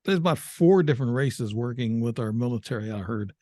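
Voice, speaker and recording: A man's voice: medium pitch, expressive, brisk, darkish tone, no room sound, studio quality. A man in his seventies hosting an interview, talking hesitantly and agreeably with frequent restarts. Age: 70s. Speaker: host. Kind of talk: conversational interview.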